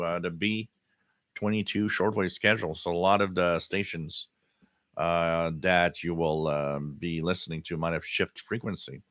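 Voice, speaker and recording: A man hosting a casual live hobby stream, answering chat comments in a halting way and often repeating his words. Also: low voice, bright, forceful tone, no echo. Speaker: livestream host.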